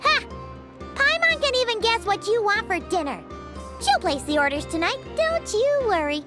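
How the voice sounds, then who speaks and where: A woman voicing a tiny childlike fairy companion in a video game, excitable and cheeky, with a squeaky, babyish voice. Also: normal speed, music in the background. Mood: teasing. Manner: refers to self in third person, bubbly. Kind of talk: video game character dialogue.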